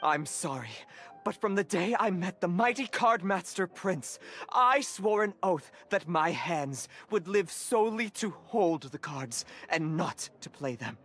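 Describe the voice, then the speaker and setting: A pompous young disciple in a game cutscene, with a widely swinging pitch. He speaks formally and grandly, full of reverence for his feline master, over background music. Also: expressive, measured pace, a bit bright. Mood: lofty. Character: pompous, devoted male card-game disciple translating for a cat. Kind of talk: video game cutscene acting.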